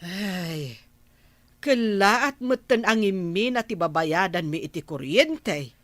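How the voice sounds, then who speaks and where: An actress playing a nagging, thrifty village housewife and aunt in a Filipino radio drama. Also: female voice, mid-range voice, lively intonation, slow pace, a bit bright, no echo. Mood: fretful.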